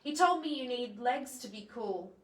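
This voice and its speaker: In an echoey room, a bit away from the microphone, a woman reads a children's storybook aloud. Her voice sits fairly high, and she is playful and expressive, dropping in chatty asides to her young audience. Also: normal speed, far from the mic. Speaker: library storytime presenter. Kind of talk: children's picture book read-aloud with asides.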